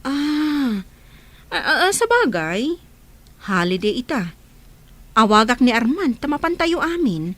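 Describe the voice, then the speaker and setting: A woman's voice: high voice, very animated, measured pace, long pauses, fairly bright tone, full character voice. A woman playing a kindly, playful motherly figure in a radio drama, with an Ilocano accent. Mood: friendly, teasing.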